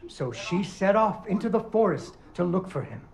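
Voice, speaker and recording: A lively puppet-show storyteller. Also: male voice, high voice, moderate pace, vocal fry, full character voice. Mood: storytelling. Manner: animated, sing-song fairy-tale delivery. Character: playful adult male puppet-show storyteller, theatrical. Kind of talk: storybook narration in a video game. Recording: game audio with music underneath.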